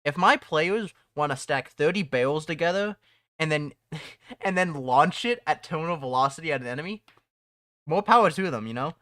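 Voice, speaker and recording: A young man talks loosely on a home live stream, drifting between topics and laughing as he goes. His voice is bright and forward. The mic sounds close and dry, with almost no room sound. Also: fairly high pitch, animated, normal speed, no room sound. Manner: rambling, laughs often, restarts phrases. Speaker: streamer and mod developer. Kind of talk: casual live-stream chat.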